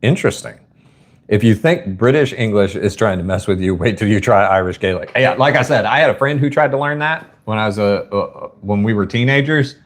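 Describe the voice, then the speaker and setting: A man's voice: fairly low pitch, lively intonation, fairly quick. A man with a Southern US accent, hosting a live stream at home in a folksy, rambling chat. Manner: rambling, folksy, says y'all and ain't. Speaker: livestream host.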